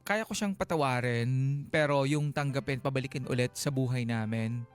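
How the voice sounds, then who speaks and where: A man's voice: mid-range voice, moderate pace, clear, resonant tone. A man with a Filipino accent co-hosting a radio advice show, talking casually in emphatic, playful Taglish with frequent rhetorical tags, in a studio. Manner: emphatic, playful, Taglish code-switching, rhetorical tag questions. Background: background music.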